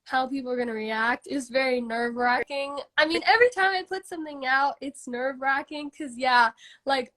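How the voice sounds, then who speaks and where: A woman's voice: high voice, brisk, fairly bright tone. A woman in her twenties with a congested voice, chatting casually as an interview guest, full of "like" and "um" fillers. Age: 20s. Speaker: singer-songwriter guest.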